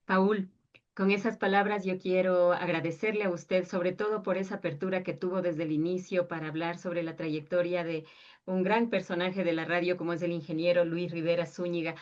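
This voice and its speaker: Woman interviewer, lowish voice, polite and formal; dull lo-fi recording. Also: fairly even intonation, normal speed, darkish tone. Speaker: interviewer, radio historian. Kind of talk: formal thanks at interview close. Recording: dull, lo-fi sound.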